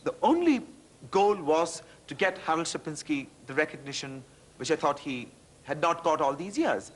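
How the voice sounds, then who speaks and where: Husky Indian man, lively interview. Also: a little high, moderate pace. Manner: animated, self-deprecating, with frequent restarts. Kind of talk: candid interview talk.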